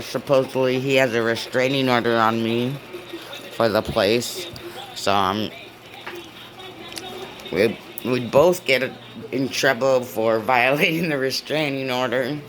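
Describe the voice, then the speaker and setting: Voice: mid-range voice, a bit bright. A vlogger chatting casually in a video diary, rambling with many fillers and frequent laughs, filmed on a phone in an indoor room. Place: indoor room with a window view. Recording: noisy room ambience.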